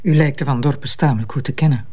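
A woman's voice: rumbling low, quick pace, a little mellow, slightly rough. A woman with a Flemish accent playing a businesslike, persistent police detective who questions formally in an audio drama. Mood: probing. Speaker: actor.

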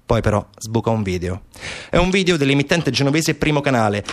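Male stage narrator for civic-theatre monologue: mid-pitched, conversational, dramatic, uses rhetorical questions. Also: very animated, brisk. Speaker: stage actor and storyteller.

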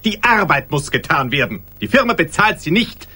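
An actor playing a fussy, authoritative office boss in a radio play, admonishing and officious. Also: male voice, a little high, very animated, normal speed, bright, forceful tone, breathy edge, heavily acted. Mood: impatient, scolding. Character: fussy, authoritative male office boss scolding his staff.

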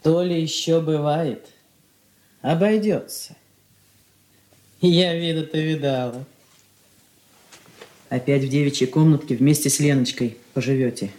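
A seen-it-all maidservant in a radio play. She talks slowly and familiarly, unflappable about everything. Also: deep voice, warm tone. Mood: consoling, gossipy. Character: worldly, unflappable, familiar female household servant. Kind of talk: Soviet radio drama acting.

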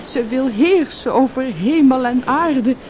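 A woman's voice: high voice, expressive, takes it slowly, background noise, pitched above the performer's own voice. A woman voicing a timid, sorrowful old fisherman in a fairy-tale audiobook, pleading and trembling. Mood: desperate, pleading.

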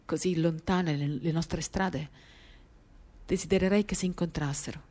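A woman giving an intimate, measured dramatic reading of a literary text, pausing between short phrases. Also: lowish voice, steady tone, mellow. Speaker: reader of a novel. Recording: guitar music under the voice. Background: acoustic guitar.

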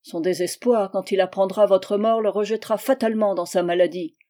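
A woman reading the part of an earnest young man, speaking with steady, measured persuasion in her own voice. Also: mid-range voice, close to an everyday voice. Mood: firm. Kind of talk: audiobook dialogue reading.

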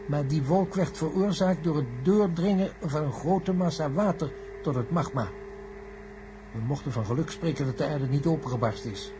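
A man's voice: fairly high pitch, close to an everyday voice. A man playing a grave, learned professor in a science-fiction radio play, formal and pedantic as he explains. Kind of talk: radio drama acting. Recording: noisy old radio recording with hum.

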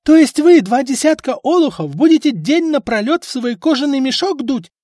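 A man voicing a sharp-tongued village wife who yells and scolds her husband in a storybook reading. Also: sits high, sing-song, moderate pace, no echo, clean studio sound, voice pushed up. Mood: shrieking scolding. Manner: shrieking, scolding. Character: shrill scolding village wife, middle-aged.

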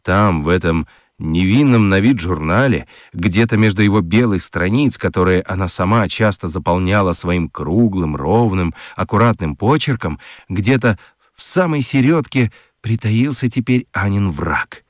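A man narrating a children's radio play as a dramatic storyteller, with expressive, deliberate literary phrasing. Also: sits low, dry recording, clean studio sound. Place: dry studio.

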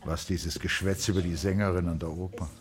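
A man narrating a literary radio drama in a measured, sombre manner. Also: sits low, little pitch movement, a crowd in the background.

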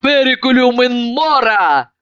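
A woman voicing a brash, hard-drinking woman in a story, who declaims in a booming, unflappable way. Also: animated, slow, a bit bright, no echo, dull audio. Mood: barking. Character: brash hard-drinking woman solemnly spouting Latin toasts.

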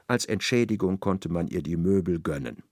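A man's voice: deep voice, expressive, normal speed, a little mellow, no room sound. A man narrating a literary radio play as a storyteller, recorded in a studio. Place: dry studio.

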